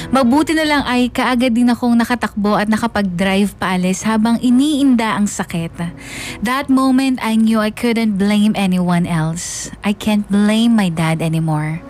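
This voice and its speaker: A woman's voice: mid-range voice, normal speed, a little mellow, faint music behind. A woman narrating a dramatised first-person radio story, mixing English into her Filipino.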